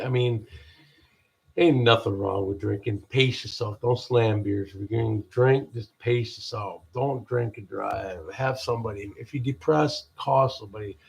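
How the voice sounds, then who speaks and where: Deep-voiced man rambling on a podcast. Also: creaky. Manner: halting, restarts often, repeats "people". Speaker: podcast host. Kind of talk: rambling spiritual pep talk.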